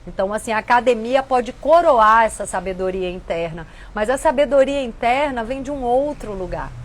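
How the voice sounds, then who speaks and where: A woman's voice: fairly high pitch, expressive, normal speed. Woman, 50s, host of a reflective chat show, earnest and conversational, faint noise under the voice. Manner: warm, earnest, conversational with frequent "né". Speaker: host answering viewer questions. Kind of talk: casual reflective talk.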